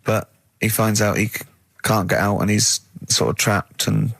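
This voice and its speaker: A man's voice: lowish voice, steady tone, moderate pace, vocal fry, fairly bright tone, slightly rough, no room sound. A man hosting a radio show in casual, off-the-cuff banter. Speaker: radio host.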